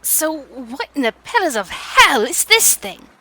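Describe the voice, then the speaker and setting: A woman acts a blunt, irreverent, hard-drinking female privateer in a fantasy audio story. The voice is high and bright, with a colloquial, no-nonsense way of talking. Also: big pitch swings, measured pace, a little hiss or hum, full character voice, low-quality recording. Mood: blunt, irritated. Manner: blunt, colloquial, puzzled questioning. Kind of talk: fantasy audio drama acting.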